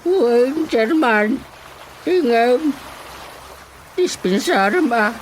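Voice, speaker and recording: A woman's voice: a little high, lively intonation, drawn-out words, slight background noise, rough recording. An actress playing a chatty, nervous village woman in a radio comedy drama, speaking with an Ilocano Filipino accent. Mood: nervous, pleading.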